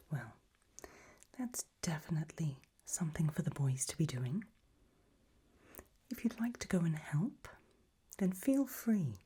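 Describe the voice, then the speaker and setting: Casting: woman to play elegant, macabre gothic matriarch for ASMR roleplay; low, breathy whisper, gracious and genteel. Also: dark tone, heavily acted. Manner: languid, formal, genteel.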